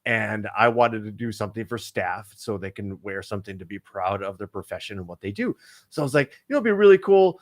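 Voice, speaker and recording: Man, low voice, rambling, lots of fillers, casual podcast co-host. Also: animated, brisk. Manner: rambling, many fillers and restarts.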